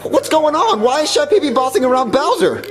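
A man's voice: very high-pitched, sing-song, a little fast. A young man voicing a bewildered male plumber hero in a suburban house, on a camera mic. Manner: bewildered, exclaiming. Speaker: puppet video maker voicing the plumber.

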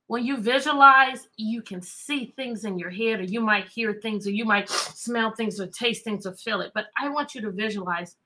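A woman with an American accent talks to children like an engaging teacher on a livestream. Her voice sits high and has a bright, forceful edge. She is animated and often repeats her questions to pull listeners in. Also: normal speed, hardly any pauses, poor audio. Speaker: teacher, children's read-aloud host. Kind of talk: chatty interactive livestream for children. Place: indoor room with bookshelves.

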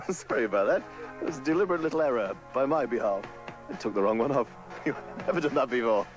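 A man's voice: medium pitch, animated, fast. A man presenting live radio, speaking casually and informally on air. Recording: muffled radio tape with music behind.

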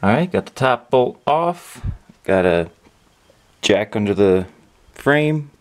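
A man's voice: lowish voice, sing-song, takes it slowly. A young man chatting casually in a laid-back, slangy, sweary way as he hosts a car repair vlog from his garage. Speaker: host of a DIY car repair channel. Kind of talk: casual vlog chat while working. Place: garage workshop with open door.

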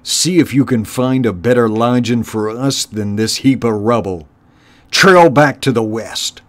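A man's voice: medium pitch, a little slow, lightly acted. A man doing a snarling, bellowing rat warlord. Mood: barking orders. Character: brutal one-eyed male rat warlord, snarling and contemptuous. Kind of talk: storybook character voice.

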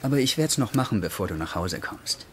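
An actor playing an upbeat, easygoing hospital doctor in a dubbed TV comedy, breezy and reassuring. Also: male voice, fairly low pitch, lively intonation, a little fast, fairly bright tone, slight background noise. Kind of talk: German TV comedy dub acting.

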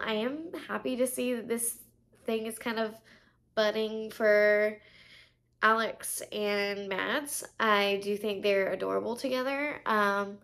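A woman's voice: mid-range voice, fairly bright tone. A woman in her thirties speaks as the host of a recap vlog, with a mid-range voice. Her delivery is casual and conversational, offering opinions informally as if chatting directly to viewers.

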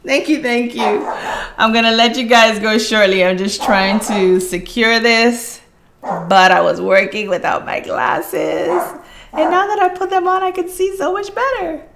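A woman's voice: a little high, lively intonation, a little slow, noisy background. A middle-aged woman chatting casually with viewers as she hosts a live craft tutorial from a room at home. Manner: chatty, addressing viewers as guys while working. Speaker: craft livestream host.

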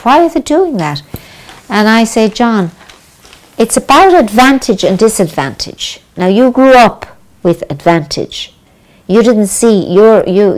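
An older Irish woman, a religious sister, talking thoughtfully in a living room interview with many pauses. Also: lowish voice, a little mellow. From Ireland. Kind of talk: reflective conversation.

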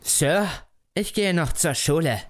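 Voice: medium pitch, lively intonation, slow pace. A performer playing an earnest seventeen-year-old boy in love in an audio drama, polite and a little awkward. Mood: polite, nervous. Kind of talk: German audio drama acting.

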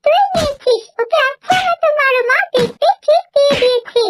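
A woman's voice: very high-pitched, brisk. A woman voicing a spoiled, whiny young stepsister who accuses others, in a fairy-tale cartoon. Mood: accusing.

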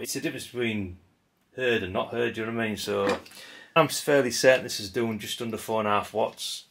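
A rambling, chatty man talks through a hands-on demo at his workbench. His fairly low voice carries a gravelly edge, and he pads his talk with fillers and asides. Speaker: radio hobby channel host. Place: indoor workbench room.

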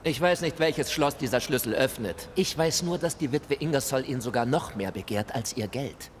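An actor playing the embittered, polite ghost of a colonial shop clerk, with formal, theatrical delivery in a video game. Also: male voice, medium pitch, normal speed, close to an everyday voice. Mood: earnest, bitter. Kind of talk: video game dialogue acting. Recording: hall reverb, noisy ambience.

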